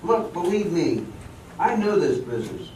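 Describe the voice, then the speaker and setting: A man's voice: fairly high pitch, sing-song, normal speed, darkish tone, a little hiss or hum. A man playing an exacting, cocksure professional dancer in a theatrical amateur radio drama, with a commanding, instructive manner. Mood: confident, persuasive. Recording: echoey hall, distant mic, music behind.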